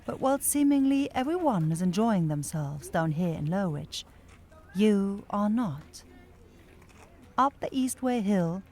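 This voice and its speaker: A woman's voice: lowish voice, warm tone, no echo. A woman reading a scripted story as the narrator of an ASMR roleplay, close to the microphone.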